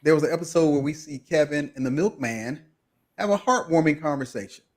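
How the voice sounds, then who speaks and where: Animated man hosting a podcast recap. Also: a little high, brisk. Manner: animated, laughs easily, leads the conversation. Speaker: podcast host. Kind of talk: casual recap chat.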